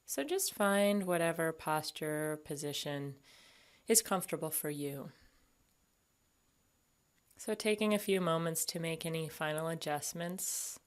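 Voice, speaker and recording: A woman guiding a meditation as an instructor, speaking gently and without hurry, leaving long pauses. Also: deep voice. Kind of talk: guided body scan meditation.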